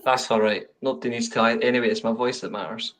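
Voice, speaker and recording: A man's voice: medium pitch, steady tone, quick pace, fairly bright tone, recorded from a distance. A man co-hosting a football watchalong, bantering and swearing in casual chat. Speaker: watchalong co-host.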